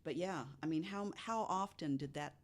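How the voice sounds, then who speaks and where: A woman's voice: sits low, normal speed. A woman on a podcast panel recounting a story in casual, conversational speech.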